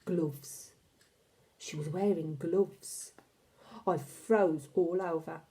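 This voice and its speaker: A woman reading a children's novel aloud as an expressive, dramatic and deliberate storyteller. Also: fairly low pitch.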